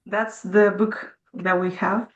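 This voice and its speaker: A woman hosts the interview and asks brief, friendly questions. Her voice sits at an ordinary pitch for a woman. The audio is dull and lo-fi. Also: level tone, slow pace.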